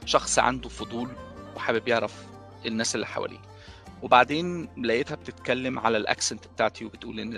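An Egyptian man with an Egyptian Arabic accent, recounting a personal experience as an interviewee, pausing often with drawn-out fillers. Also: medium pitch, creaky, a bit bright, no echo. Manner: thoughtful, pauses with drawn-out fillers.

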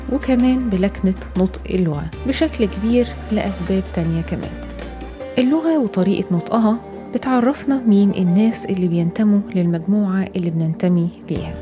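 A woman with an Egyptian Arabic accent, a radio presenter reading scripted feature narration in a clear, steady way. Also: medium pitch.

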